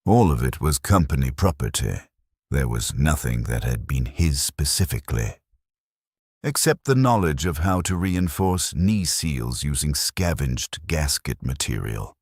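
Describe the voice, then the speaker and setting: A man narrating a scripted first-person war story in a grave, deliberate manner with short, somber sentences. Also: very deep voice, sing-song, a little mellow, husky, no room sound, studio quality.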